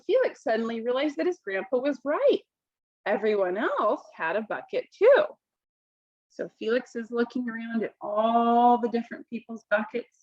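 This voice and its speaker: A woman reads a children's story aloud in a high, lively voice that moves up and down a lot. She explains things simply and expressively. The sound is slightly dull. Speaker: children's picture book reader.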